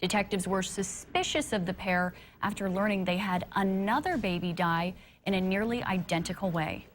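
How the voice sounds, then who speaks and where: Woman, American accent, TV news reporter, scripted narration, medium pitch, faint background noise. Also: fairly even intonation, moderate pace.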